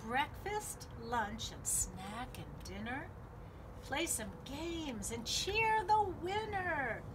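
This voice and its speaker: A middle-aged woman reads a picture book to children in a high, very expressive, sing-song voice. She hosts storytime with deliberate, drawn-out phrasing, recorded outdoors with clear background noise. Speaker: library storytime host. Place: outdoors on a home patio.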